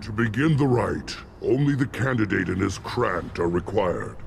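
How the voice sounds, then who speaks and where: A man playing a solemn, battle-loving alien shaman in a video game, speaking in a gravelly, ceremonial, measured way. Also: lowish voice, lively intonation, a little hiss or hum. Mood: stern, approving. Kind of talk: dramatic video game acting.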